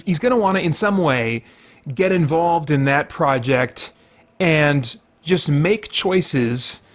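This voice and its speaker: A man giving casual gameplay commentary as a host, explaining his reasoning and thinking aloud as he goes. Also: a little high. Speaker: host of a gaming video series.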